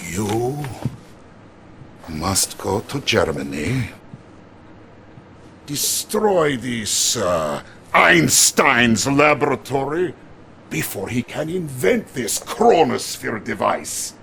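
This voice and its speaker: An actor playing a pompous Soviet leader with a Russian accent, deliberately giving orders in a game. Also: male voice, mid-range voice, very animated, vocal fry, over a noisy crowd, exaggerated voice. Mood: confident, scheming.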